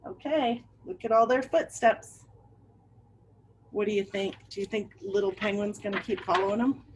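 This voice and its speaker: A woman's voice: medium pitch, moderate pace, slight background noise, rough recording. A woman reading a picture book aloud to children, with chatty asides and questions to her young listeners. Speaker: read-aloud storyteller for children.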